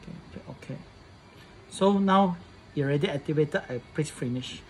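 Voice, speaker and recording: A man presenting a casual hands-on setup tutorial in halting English, often repeating his phrases. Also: on the high side, a little hiss or hum, rough recording.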